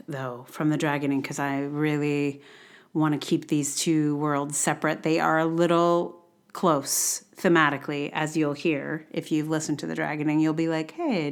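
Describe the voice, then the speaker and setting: Chatty lower-voiced woman podcast host. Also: a bit bright. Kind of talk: casual unscripted podcast introduction.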